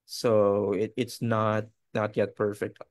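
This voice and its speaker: A man's voice: lowish voice, flat delivery, slow, dry recording, studio quality. A man whose first language is Filipino, speaking as a video editor in a casual webinar Q&A, loose and conversational, often checking in with listeners. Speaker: video editor, guest presenter.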